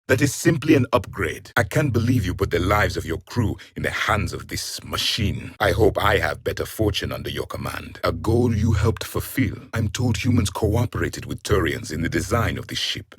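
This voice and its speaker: An ancient alien soldier, the last of his people, in a video game. The voice is low and rough, swinging widely in pitch as he hands down grim, haughty judgments. The phrasing is formal and clipped, full of scorn. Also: no room sound. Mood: scornful, hard. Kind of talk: video game character voice lines.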